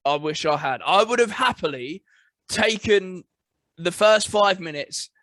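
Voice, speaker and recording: A man's voice: high-pitched, expressive, a little slow, a bit bright, no echo, low-quality recording. A man co-hosting a podcast in casual chat, stating blunt, emphatic opinions. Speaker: podcast co-host.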